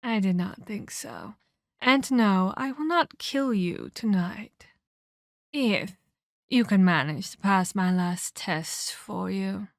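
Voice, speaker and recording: A woman playing a haughty, menacing vampire elder in a scripted ASMR roleplay, speaking in a deliberate, taunting, condescending way with formal phrasing. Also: medium pitch, gravelly, no echo, studio quality.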